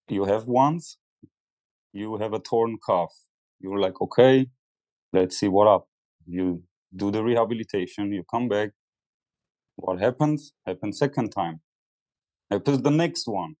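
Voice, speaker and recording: A man talks in earnest, somewhat halting English as an interview guest. His voice sits low in pitch. It is recorded close and dry, with no room echo. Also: darkish tone. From Armenia. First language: Armenian. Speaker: podcast guest, former ballet dancer. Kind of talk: conversational interview.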